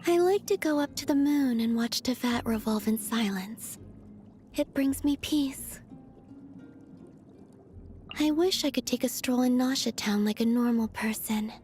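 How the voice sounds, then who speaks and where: A woman voicing a serene, otherworldly young moon maiden from a video game, soft and breathy, with a gentle, naive curiosity. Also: a little high. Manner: slow, dreamy, innocently curious. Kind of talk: video game character voice lines.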